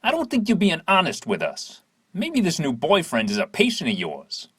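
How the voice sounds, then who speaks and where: A man's voice: on the high side, wildly swooping pitch, moderate pace, fairly bright tone, husky, dry recording, studio quality, exaggerated voice. A man playing a suspicious, bossy corrupt police detective in an audio drama, with a pushy, probing manner. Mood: suspicious, pressing.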